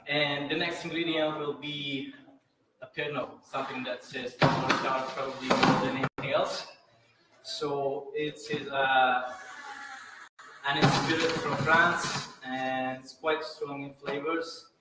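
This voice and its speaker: A man's voice: mid-range voice, level tone, takes it slowly, loud music behind the voice. A bartender from Latvia, a man explaining his cocktail step by step with hesitant fillers. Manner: explains steps carefully, hesitant fillers, slightly non-native phrasing. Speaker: bartender competitor. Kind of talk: presenting while making a cocktail. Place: large indoor event venue. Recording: very echoey hall, distant mic, noticeable noise.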